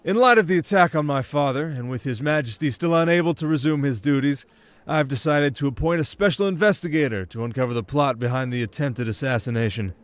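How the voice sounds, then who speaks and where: A naive, self-important young prince, put on by a man in an audio roleplay. The voice is lifted noticeably high, with formal, pompous, regal declarations. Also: fairly quick, dry recording, close to an everyday voice. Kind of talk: ASMR audio roleplay acting.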